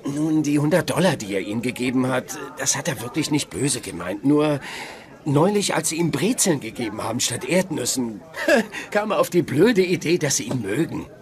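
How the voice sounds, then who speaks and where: Actor as animated, sardonic sitcom roommate. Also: male voice, medium pitch, moderate pace, close to an everyday voice. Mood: probing, scheming. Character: grudging, sarcastic, scheming roommate of a friend. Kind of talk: dubbed sitcom acting. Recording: clear crowd chatter behind.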